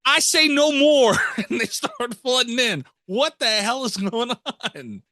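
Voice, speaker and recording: A man's voice: very high-pitched, expressive, a little slow, sharp tone, no echo, clean studio sound. A man hosting a podcast in casual banter, joking with profane exasperation. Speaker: podcast host.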